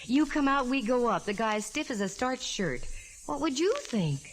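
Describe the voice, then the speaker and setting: A woman's voice: a little high, big pitch swings, normal speed, a little hiss or hum. An American actress playing a plucky, wry, slangy female private eye in a 1940s radio detective drama. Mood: puzzled, wry. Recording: vintage 1940s radio recording, music behind.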